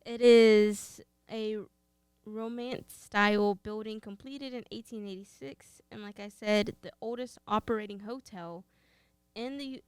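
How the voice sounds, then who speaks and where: A woman's voice: medium pitch, fairly even intonation, a little slow, a little mellow, smooth, no echo. A young woman with a Texan accent, co-hosting a podcast in a casual, playful way and reading out facts. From Texas. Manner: playful, teasing. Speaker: podcast co-host.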